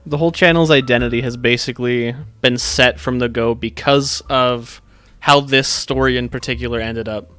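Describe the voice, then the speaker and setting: A man's voice: mid-range voice, steady tone, normal speed, pushed and bright, clean tone, dry recording. A man co-hosting in a casual, conversational chat. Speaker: co-host and voice performer on a story-reading channel. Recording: background music under the voice.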